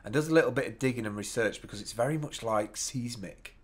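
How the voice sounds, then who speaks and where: A man's voice: mid-range voice, fast. A man co-hosting an app review show, chatting informally and with enthusiasm about tech. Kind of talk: casual tech review chat.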